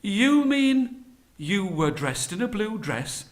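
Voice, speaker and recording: A cunning storybook beggar man, acted by a male storyteller, who plays slow-witted. His words come slowly and drawn out, in an innocently puzzled way. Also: high voice, very animated, voice pushed up, full character voice. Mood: feigned confusion. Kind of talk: children's folktale storytelling.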